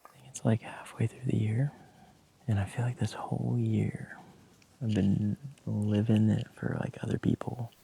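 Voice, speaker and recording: A young man talking candidly to his camera in a loose, soft-voiced way, swearing often and laughing at himself. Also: on the low side, level tone, moderate pace, vocal fry, a little hiss or hum. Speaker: vlogger. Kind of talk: casual reflective talk to camera.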